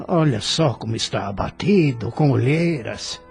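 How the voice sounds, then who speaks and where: An actor playing a kindly, reassuring, gently joking doctor in an audio drama, courteous and persuasive, with a Brazilian accent. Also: male voice, mid-range voice, animated, a little slow, vocal fry. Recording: music under the voice.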